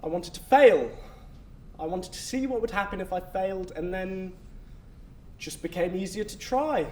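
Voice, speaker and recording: An actor playing a gentle, reassuring male official who speaks in a measured way in a stage play. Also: sits high, animated. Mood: reflective. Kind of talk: stage acting. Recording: roomy distant stage sound with noise.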